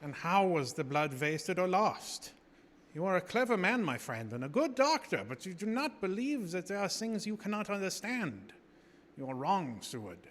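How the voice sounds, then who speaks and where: An actor playing a grave, commanding professor who hunts vampires, delivering probing, theatrical speeches in gothic radio drama. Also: male voice, high-pitched, sing-song, moderate pace, a bit bright. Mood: intense, lecturing. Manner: theatrical, probing rhetorical questions. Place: live theater stage. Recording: reverberant theater hall with noise.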